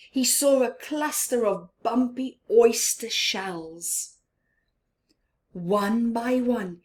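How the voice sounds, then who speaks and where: A woman reading a picture book aloud to young children, dramatic and expressive, with simple asides and questions to the listener. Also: a little high. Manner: slow, simple child-directed phrasing. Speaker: storyteller for children.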